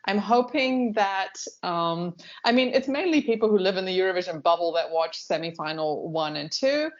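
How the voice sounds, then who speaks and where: A woman's voice: lowish voice, lively intonation, moderate pace. A woman giving her opinions as a panelist in a casual chat, on a dull lo-fi recording. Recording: dull, lo-fi band-limited sound.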